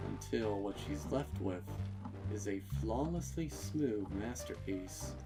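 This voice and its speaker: Guy reading a horror story, slow n deliberate, lowish voice, music playing behind him. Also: rough recording. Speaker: narrator of a horror fan fiction reading. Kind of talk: scripted dramatic story narration.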